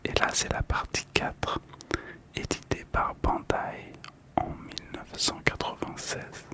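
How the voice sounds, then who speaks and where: A man hosting a relaxation video in a soft, calm, breathy whisper, telling stories in ASMR style. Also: slow. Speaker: host of a relaxation channel about collectible cards.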